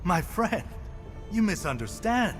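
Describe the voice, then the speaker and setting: A man playing a warm-hearted, grateful godsmith in a video game, expressive, friendly and philosophical. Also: sits high, slow, faint music behind, full character voice. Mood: friendly, sincere. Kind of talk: video game cutscene acting.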